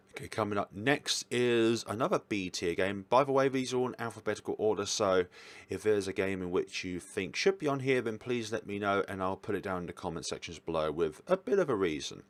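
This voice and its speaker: A man's voice: on the low side, dry recording. A man giving casual, informal commentary as a reviewer, talking in a rambling way. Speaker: visual novel reviewer.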